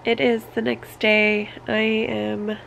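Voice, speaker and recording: A woman's voice: a little high, little pitch movement, slow pace, gravelly, a bit bright, slight background noise, poor audio. A woman in her thirties with a congested, sick voice, giving an informal, hesitant vlog update into a handheld camera. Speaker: family vlogger. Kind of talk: casual personal vlog update. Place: small bedroom, indoors. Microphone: camera held at arm's length.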